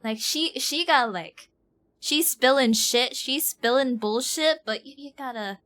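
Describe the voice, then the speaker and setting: High-voiced, slangy woman game streamer. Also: a little slow, fairly bright tone, slight background noise. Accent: neutral, North American-sounding. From Ireland. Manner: rambling, slangy, giggly. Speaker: live streamer. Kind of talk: casual gaming stream chat.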